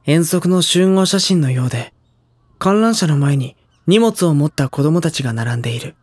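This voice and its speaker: A young man playing a sensitive, anxious high school boy in dramatized first-person narration. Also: animated, moderate pace, a little mellow, breathy edge, no room sound. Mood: pensive. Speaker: narrator and character.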